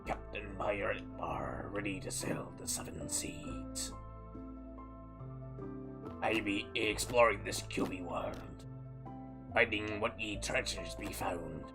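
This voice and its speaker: A man voicing a gruff, boastful male pirate captain in heavy pirate dialect, over background music. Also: on the low side. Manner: pirate dialect, drawn-out growls. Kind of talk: gaming video role-play.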